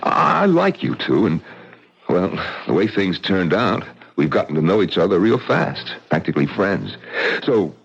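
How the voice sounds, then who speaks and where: A man's voice: deep voice, very animated, normal speed, vocal fry, slightly rough, heavily acted. An actor playing a defiant, brash man in an old radio drama, who stammers when shaken. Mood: shaken, generous. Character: tough, defiant man from the east. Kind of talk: 1970s radio drama acting. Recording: dull, faintly hissy old radio broadcast.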